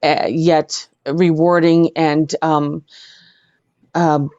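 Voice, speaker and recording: A middle-aged woman with a Canadian accent, telling personal stories as an interview guest in an earnest, halting way. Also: deep voice, flat delivery, a little mellow, no room sound, muffled recording. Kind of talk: personal storytelling in conversation.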